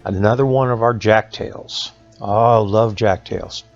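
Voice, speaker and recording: A man with a Southern Appalachian American accent telling stories to children in a folksy, conversational way with dramatic pauses. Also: lowish voice, steady tone, gravelly, highs cut off, soft background music. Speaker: school resource officer reading folk tales to students.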